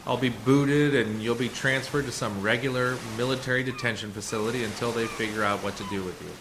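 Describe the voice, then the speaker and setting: A man playing a calm, assured undercover rescuer in a sci-fi radio play, speaking in a measured, explanatory way. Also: medium pitch, close to an everyday voice. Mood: reassuring, matter-of-fact. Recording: noisy community radio drama recording.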